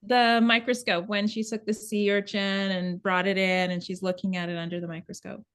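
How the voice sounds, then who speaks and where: A woman's voice: mid-range voice, little pitch movement, moderate pace, a bit bright, smooth. Woman, mid-range voice. Moderator, conversational, prompting panellists. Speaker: table read moderator. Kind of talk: casual discussion prompting.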